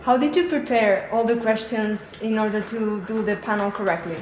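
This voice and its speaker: A woman's voice: fairly high pitch, moderate pace, a little mellow, a little hiss or hum. A woman interviewing a guest, asking questions and often murmuring short agreement. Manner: frequent short backchannel agreement. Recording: echoey room.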